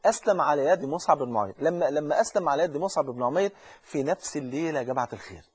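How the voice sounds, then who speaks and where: A man with an Egyptian accent preaches a religious lesson at a very rapid clip, his voice fairly high and husky. He speaks with fervent urgency, pressing the listener directly and often repeating or restarting phrases. The recording is dry and close. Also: expressive, dry recording. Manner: frequent repetitions and restarts.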